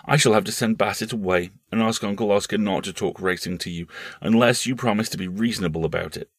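A man's voice: on the low side, quick pace, dry recording, studio quality. A man voicing a tired, anxious mother who speaks firmly to her son. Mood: stern. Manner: firm yet tired, worried. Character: weary, anxious, stern mother.

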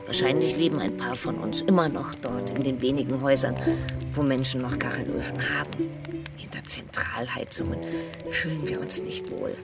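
An actress playing a motherly troll who tells old tales in a children's radio play, sometimes hushing to a whisper. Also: rumbling low, normal speed. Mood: storytelling. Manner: storytelling, hushed at times. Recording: loud music bed under dialogue.

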